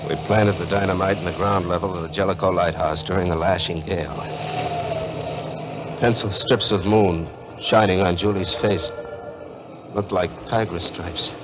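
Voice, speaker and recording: A man's voice: low-pitched, fairly even intonation, normal speed, over music, lightly acted. An actor playing a doomed, weary American fugitive who tells his own hard-boiled story in a grim, terse 1940s radio style. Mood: haunted, grim. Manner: grim, terse noir delivery. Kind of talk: 1940s radio drama narration and acting. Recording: noisy muffled 1940s radio broadcast.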